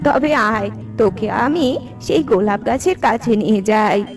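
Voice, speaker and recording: A woman's voice: mid-range voice, animated, a little slow. A woman voicing a doting, protective old grandmother owl in a children's cartoon. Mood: kindly advising. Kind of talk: children's cartoon character dubbing. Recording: music behind the voice.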